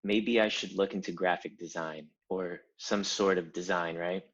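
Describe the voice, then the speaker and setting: Low-voiced Cuban-born man telling his story. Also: moderate pace, highs cut off. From Cuba. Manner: animated storyteller, builds to emphatic points. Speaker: guest, design founder. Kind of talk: conversational interview.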